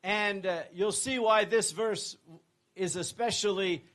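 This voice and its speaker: An older man from England, preaching in short phrases that pause for an interpreter. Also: sits high, fairly bright tone. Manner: speaks in short phrases, pausing for translation. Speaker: guest preacher. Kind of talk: sermon in English with interpreter.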